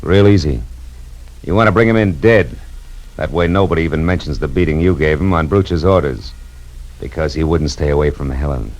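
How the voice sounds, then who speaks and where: An American actor playing a tough, wisecracking private detective in a 1940s radio noir drama, with a terse, wry delivery. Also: male voice, a bass voice, fairly quick, a little mellow. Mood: grim, sardonic. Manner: hard-boiled, terse, wry. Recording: muffled old radio recording, music behind.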